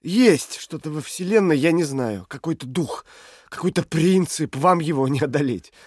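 Desperate defiant prisoner, high voice, very expressive, earnest and halting, radio play. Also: male voice, husky, no room sound, studio quality. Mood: weary conviction. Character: desperate, defiant male prisoner, weakened but idealistic. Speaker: actor in an audio play. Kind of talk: dramatic audio play dialogue.

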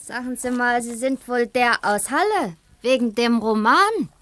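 An actress playing a chatty, nosy village housewife who talks in a colloquial, confiding way in a radio play. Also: female voice, fairly high pitch, measured pace, a bit bright. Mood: curious. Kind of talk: naturalistic German radio play acting.

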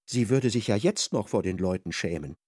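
A man's voice: on the low side, lively intonation, normal speed, darkish tone, husky, dry recording, clean studio sound. A man narrating a literary radio play in a theatrical manner, retelling conversations in reported speech.

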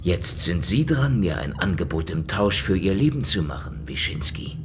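An actor playing a hardboiled, cynical Soviet secret-police detective, talking in a dry, sardonic, probing way. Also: male voice, sits low, very animated, moderate pace, noisy background. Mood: bargaining. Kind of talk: radio drama acting.

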